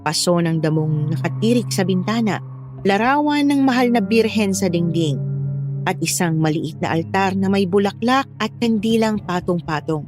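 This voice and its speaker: A woman's voice: medium pitch, lively intonation, normal speed, over music. A woman reading a scripted first-person horror story as its narrator.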